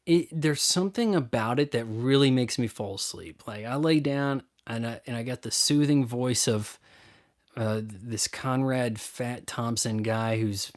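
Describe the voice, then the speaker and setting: A man hosting a live stream, casually answering viewer questions in a blunt, opinionated, rambling way. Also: medium pitch.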